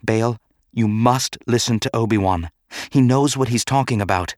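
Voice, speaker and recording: A man's voice: mid-range voice, normal speed, darkish tone, no echo, clean studio sound, lightly acted. A man voicing an earnest young female senator in a dramatic audiobook, with an urgent, insistent manner. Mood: pleading. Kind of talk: dramatic audiobook character acting.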